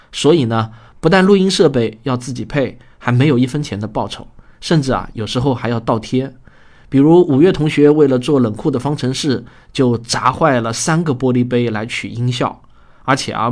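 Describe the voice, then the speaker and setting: A male podcast host with a medium-pitched voice talks straight to his listeners. He explains things in a conversational manner, as in a prepared programme sign-off. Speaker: podcast host and radio drama producer. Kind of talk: scripted programme outro, spoken to listeners.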